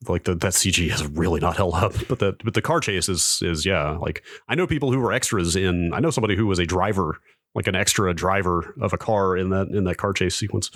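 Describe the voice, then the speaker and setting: American man, low dry voice, wry chat. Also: a little fast, fairly bright tone, no room sound. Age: middle-aged. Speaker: podcast co-host. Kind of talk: casual tech nostalgia chat.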